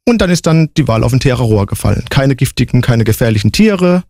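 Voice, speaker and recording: A man's voice: mid-range voice, big pitch swings, fast talker, a little mellow, no echo. Matter-of-fact man in his forties, dry close sound. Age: 40s. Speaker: interview guest, long-distance hiker. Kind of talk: casual interview answers.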